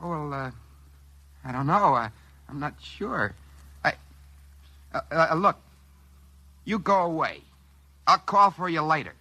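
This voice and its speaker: Bright, animated scheming American dreamer. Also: male voice, fairly high pitch, unhurried, pauses often, dry recording, heavily acted. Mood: flustered. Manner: excitable, stammering when flustered. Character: work-shy scheming dreamer who finds a wishing machine. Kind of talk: mid-century American radio drama acting. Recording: vintage radio recording with faint music.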